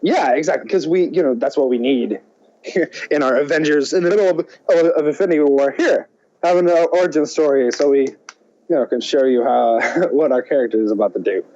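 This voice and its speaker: A man's voice: mid-range voice, brisk, dark tone. A man co-hosting a podcast in a laid-back, slangy, casual way. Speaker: podcast co-host. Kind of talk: casual chat. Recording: dull, muffled lo-fi sound.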